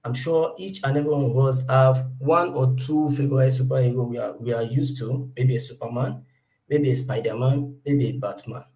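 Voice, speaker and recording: A man's voice: mid-range voice, steady tone, moderate pace, a little mellow, low-quality recording. A man introducing himself as the tutor of an online kids' class, polite and a little hesitant. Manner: polite, slightly hesitant with restarts.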